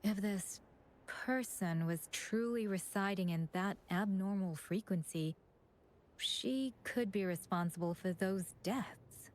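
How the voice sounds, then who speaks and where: An actress playing a composed, courteous chief administrator in a video game. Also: female voice, mid-range voice, slow pace, natural voice. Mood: composed, analytical.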